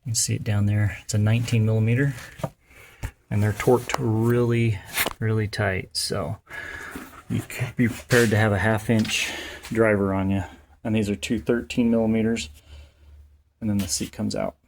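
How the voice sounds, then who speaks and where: A man with a fairly low voice talks through a hands-on installation job. He is plain-spoken and folksy, explaining casually one step at a time. There is clear noise behind his voice. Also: flat delivery, normal speed, pauses often. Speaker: installer presenting a how-to. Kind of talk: casual hands-on tutorial narration. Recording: clear background noise, occasional clicks.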